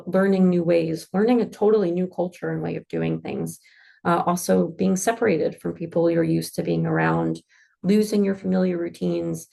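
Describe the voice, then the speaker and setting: Gravelly North American woman presenting. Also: fairly low pitch, warm tone. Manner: friendly, informative, frequent fillers. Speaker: international office staff presenter. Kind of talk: pre-departure info session.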